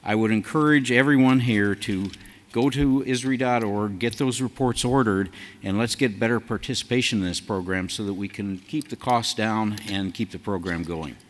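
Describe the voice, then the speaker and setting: A man in his sixties giving a prepared announcement at a convention podium in a halting, folksy way. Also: lowish voice. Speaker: task force chair addressing convention. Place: large convention hall stage. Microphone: podium gooseneck microphone. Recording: hall reverb from a PA.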